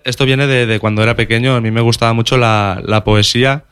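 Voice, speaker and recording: A man's voice: medium pitch, fairly even intonation, brisk, a bit bright, smooth, no echo, studio quality. A young male singer with a Valencian accent, a native Valencian speaker talking in Spanish, answering interview questions thoughtfully, often restarting and repeating words. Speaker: singer interviewed about his music.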